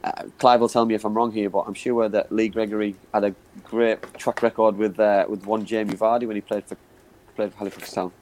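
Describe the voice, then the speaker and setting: A man's voice: on the low side, little pitch movement, fairly quick, a little mellow, a little hiss or hum, low-quality recording. A man giving football analysis on a chat show, often repeating words and saying you know what I mean. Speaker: football pundit.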